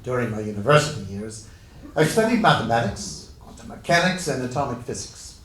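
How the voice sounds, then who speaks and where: Echoey distant recording, man acting as earnest male scientist, formal life-story monologue, swooping pitch. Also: big pitch swings, fairly quick, fairly bright tone, slight background noise. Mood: earnest, proud. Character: Enrico Fermi, earnest Italian-born physicist recounting his life. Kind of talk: first-person dramatic reading of a historical monologue. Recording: echoey room, distant microphone.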